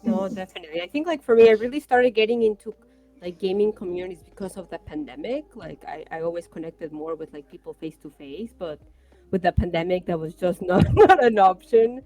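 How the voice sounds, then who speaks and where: A young woman streamer joins a casual livestream chat in a fairly low-pitched voice. She talks with eager energy. She rambles through her points with plenty of fillers. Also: fairly quick, warm tone, low-quality recording. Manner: enthusiastic, rambling with many fillers. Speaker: streamer guest.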